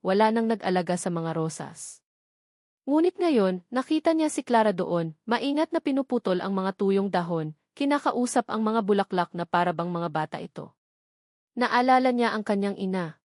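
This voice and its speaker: A woman with a Filipino accent narrating a scripted romantic drama in a measured, dramatic way with emphatic short phrases. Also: mid-range voice, darkish tone, dry recording, studio quality.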